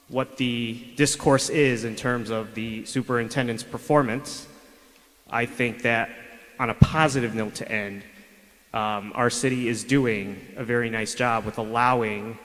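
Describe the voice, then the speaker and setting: A man's voice: medium pitch, a bit bright. A man giving a pointed, combative public comment at a school board meeting, speaking into a podium microphone in a city meeting chamber. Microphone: podium microphone over public address. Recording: public address audio in a reverberant hall.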